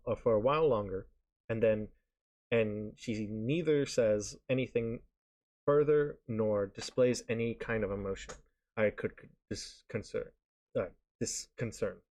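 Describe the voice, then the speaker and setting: A man's voice: on the low side, darkish tone. Man reading aloud, stumbling over words. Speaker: streamer reading a visual novel aloud. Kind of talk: live read-aloud of narration. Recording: guitar music behind the voice. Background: game background music with guitar.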